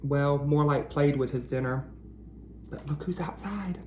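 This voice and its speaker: A man hosting a read-aloud for children, speaking directly to them with questions and drawn-out emphasis. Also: a little high, noisy background. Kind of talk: children's picture-book read-aloud and chat.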